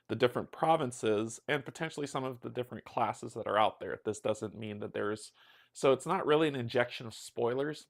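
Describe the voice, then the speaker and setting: A man hosting a casual livestream of a tabletop game, chatty and upbeat, greeting his viewers by name and joking about himself. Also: mid-range voice. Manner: chatty, upbeat, self-deprecating. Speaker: streamer and host.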